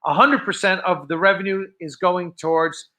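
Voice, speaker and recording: An American man hosting a casual live fan show, chatting off the cuff in a rambling, halting way that often trails off. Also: sits high, pushed and bright. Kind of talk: casual unscripted livestream chat.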